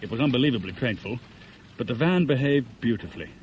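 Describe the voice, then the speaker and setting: A man's voice: medium pitch, lively intonation, a little mellow, lightly acted. Actor as impatient, commanding man on the run. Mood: terse narrating. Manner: brisk, insistent questioning. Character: tense, commanding male escape organiser, clipped and impatient. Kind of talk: 1970s radio thriller acting. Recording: noisy old radio drama recording.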